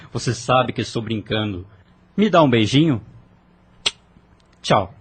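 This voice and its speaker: Man acting husky, animated young brother. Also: medium pitch, slow, full character voice. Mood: jaunty, teasing. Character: cocky, affectionate young man, idealistic brother. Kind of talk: audio novel acting.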